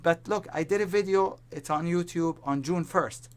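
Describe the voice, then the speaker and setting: A man giving market commentary whose voice is strained from teaching, talking in a halting way with many restarts. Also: fairly high pitch, clean tone. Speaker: market analyst.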